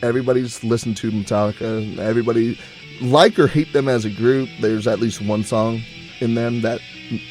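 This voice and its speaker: A young man co-hosting a college radio show, telling stories in a rambling, relaxed way with plenty of fillers. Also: mid-range voice, a little fast, soft background music, rough recording. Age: young adult. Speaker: co-host. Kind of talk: casual chat.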